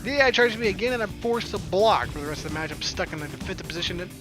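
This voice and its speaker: Playful, higher-pitched man narrating a game. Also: racing. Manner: playful, jokey. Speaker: gamer reading a visual novel aloud. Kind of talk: let's play narration with acted dialogue. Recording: game music behind.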